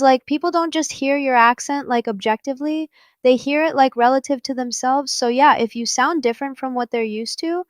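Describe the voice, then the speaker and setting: A woman's voice: high voice, dry recording, studio quality. A young woman from Japan, Japanese her first language, speaking English with a mixed American and British accent as a podcast host, chatty with many fillers. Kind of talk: casual solo podcast talk.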